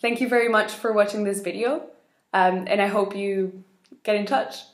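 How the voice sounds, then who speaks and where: A woman in her twenties from Spain, giving an earnest, steady campaign address with frequent ums into a webcam in a small indoor room. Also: medium pitch, normal speed, echoey room. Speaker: election candidate.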